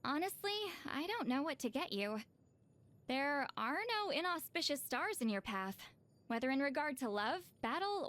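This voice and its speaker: A woman's voice: high-pitched, fairly bright tone, exaggerated voice. A woman voicing a reclusive video game shaman, dry and weary yet easily flustered and defensive. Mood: fretting. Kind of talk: video game character voice lines.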